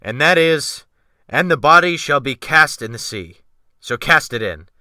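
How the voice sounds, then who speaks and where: A man voicing a brutal, commanding sea captain in a dramatic audiobook, barking brisk orders with a quick temper. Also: on the high side, animated, sharp tone, no room sound, studio quality, pitched above the performer's own voice, full character voice. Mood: curt. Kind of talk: dramatic audiobook character acting.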